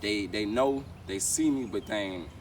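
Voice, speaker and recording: A man's voice: on the low side, normal speed. A young man, a rapper chatting casually in street slang in an interview, rambling and repeating his phrases. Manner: rambling, repeats phrases, frequent "you know what I'm saying". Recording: distant mic, clear outdoor traffic noise.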